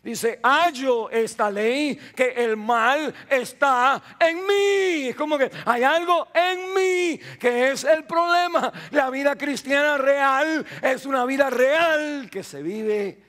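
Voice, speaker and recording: High-voiced pastor preaching with rising bursts. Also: male voice, takes it slowly, few pauses, a bit bright. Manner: preaching with rising bursts of emphasis, frequent repetitions and restarts. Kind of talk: sermon. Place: church sanctuary.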